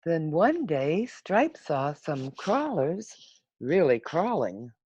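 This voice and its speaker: A woman reading a children's storybook aloud in an expressive, unhurried manner with dramatic pauses, over a video call. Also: low-pitched, husky, no room sound. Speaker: storybook reader. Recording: muffled, lo-fi video call audio.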